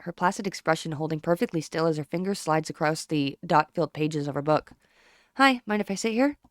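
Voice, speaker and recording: A woman reading a visual novel aloud as first-person narration, brisk and now and then stumbling over words. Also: sits low, no echo, studio quality.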